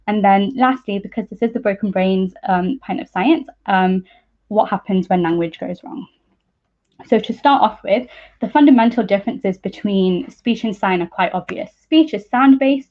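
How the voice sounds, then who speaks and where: A young woman, giving an informal science talk as a PhD student in a slightly hesitant, explanatory way. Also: mid-range voice. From East Midlands, England. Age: young adult. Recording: muffled narrow-band online call audio.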